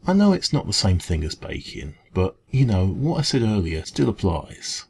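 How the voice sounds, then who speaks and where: Man playing a creaky-voiced, caring English café dragon. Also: low-pitched, sing-song, normal speed, slight background noise, exaggerated voice. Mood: earnest, reassuring. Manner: gentle, reassuring, hesitant conversational encouragement. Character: kind, caring male dragon who runs a café. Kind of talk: ASMR roleplay, comforting one-to-one talk.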